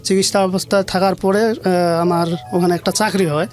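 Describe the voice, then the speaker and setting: High-voiced Bangladeshi man telling his story. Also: steady tone, fairly quick, soft background music. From rural Bangladesh. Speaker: interview guest telling his life story. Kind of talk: personal storytelling in an interview.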